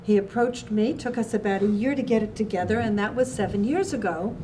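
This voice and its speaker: Distant-sounding woman speaking haltingly, some background noise. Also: medium pitch, warm tone. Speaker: theater festival co-founder being interviewed. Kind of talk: conversational recollection for a documentary.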